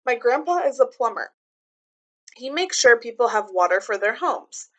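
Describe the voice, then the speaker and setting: A woman with a fairly high, bright voice reads a children's book aloud in the style of a teacher. She explains things in simple, clear terms for young listeners, moving between reading and commenting. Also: big pitch swings, normal speed, muffled recording.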